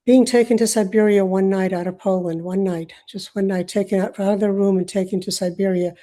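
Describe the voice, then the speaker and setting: An older woman born in Uganda, with Swahili as her first language, hosting an online video call and speaking in a reflective, halting way. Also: mid-range voice, dark tone. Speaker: host.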